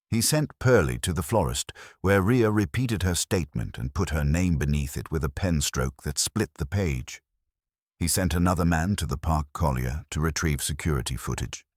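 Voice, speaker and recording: A man's voice: a bass voice, slightly rough, no echo, studio quality. A man narrating a first-person detective story in a wry, hard-boiled way. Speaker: audiobook narrator.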